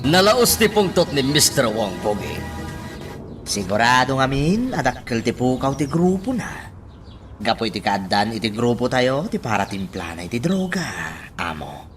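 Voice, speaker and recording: Lalaking gumaganap na mapaghinalang pinuno ng sindikato. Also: male voice, mid-range voice, sing-song, moderate pace, soft background music, lightly acted. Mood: suspicious, commanding. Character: criminal gang boss, suspicious and commanding. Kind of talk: Ilocano radio drama acting.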